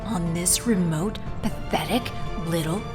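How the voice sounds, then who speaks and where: A woman's voice: lowish voice, a little slow, over loud music, close to an everyday voice. A woman playing a haughty, contemptuous female space villain in an audio drama, speaking theatrically. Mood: incredulous, scornful.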